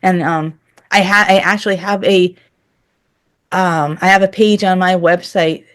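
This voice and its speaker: A woman's voice: on the low side, fairly even intonation, a little slow, a bit bright. A woman speaking earnestly and candidly as an interview guest, in a conversational style.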